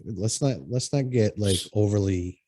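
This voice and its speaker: Podcast host, man, low mellow voice, rambling and profane, dry close sound. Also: measured pace, dry recording. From Connecticut. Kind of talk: casual chat.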